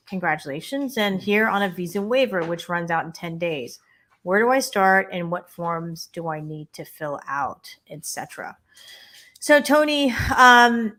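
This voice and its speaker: A woman co-hosting a live immigration Q&A stream, chatting and giving quick practical tips. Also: medium pitch, fairly bright tone. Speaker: immigration lawyer co-host.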